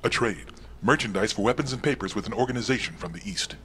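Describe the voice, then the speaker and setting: Actor as brisk, formal, low-voiced henchman. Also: a little hiss or hum, natural voice. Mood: dry, measured. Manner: formal, clipped, deadpan. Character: unflappable, formal male right-hand man to crime boss. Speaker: crime boss's adviser. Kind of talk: cinematic game dialogue.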